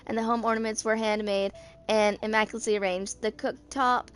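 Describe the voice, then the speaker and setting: A woman in her twenties reading written game lore aloud in an amateur narration, haltingly, stumbling over words and rereading them. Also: fairly high pitch, steady tone, slight background noise. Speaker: video creator reading game character lore.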